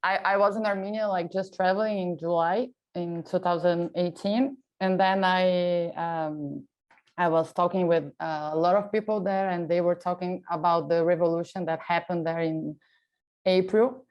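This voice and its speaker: A woman with a Brazilian Portuguese accent and a somewhat low voice recounts events in an easy, chatty way. She is a film director speaking English as a second language. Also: steady tone, normal speed, highs cut off. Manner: casual, chatty storytelling with fillers. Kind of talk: festival Q&A answers.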